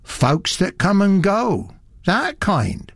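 A big, burly country innkeeper, folksy, gossipy and eager to please, as a male reader plays him. His talk rolls up and down in lively swings. The recording is close and dry. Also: sits high, slow, gravelly, dry recording, pitched above the performer's own voice, exaggerated voice. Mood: ingratiating.

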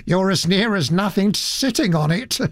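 A man's voice: high-pitched, lively intonation, unhurried, no echo, clean studio sound, pitched above the performer's own voice, exaggerated voice. A man voicing a cheerful, fussy middle-aged husband who dotes on his wife.

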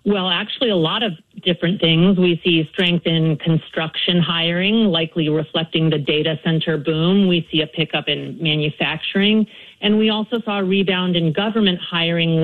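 Woman economist on a phone line. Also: fairly low pitch. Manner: calm, explanatory. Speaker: economist guest. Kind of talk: live interview.

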